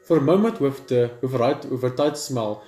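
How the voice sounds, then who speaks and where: A man's voice: medium pitch, animated, brisk, warm tone. A man reading story text aloud for a gaming video, sometimes stumbling over the words. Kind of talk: let's play read-aloud. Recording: roomy echo with music behind.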